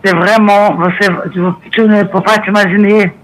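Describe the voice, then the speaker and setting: A woman whose first language is Portuguese, calling in on the phone and speaking French haltingly, with many restarts and fillers. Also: mid-range voice, level tone, slight background noise, rough recording. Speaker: caller. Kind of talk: phone conversation.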